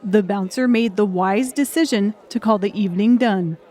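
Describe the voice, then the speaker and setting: A woman narrates an audiobook from a script. Her voice is medium in pitch, with a faint crowd murmuring behind her. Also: normal speed, dark tone.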